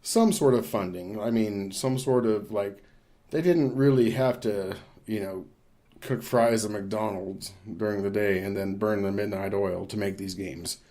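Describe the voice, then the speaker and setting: Man, lower-pitched voice, podcast host, casual games discussion, rambling and opinionated. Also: unhurried, dark tone.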